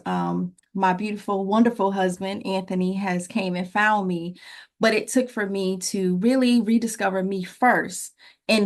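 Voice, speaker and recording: A middle-aged woman speaking as an interview guest, earnest and reflective, in a personal motivational style with emphasis on key phrases. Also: medium pitch. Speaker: interview guest, relationship coach.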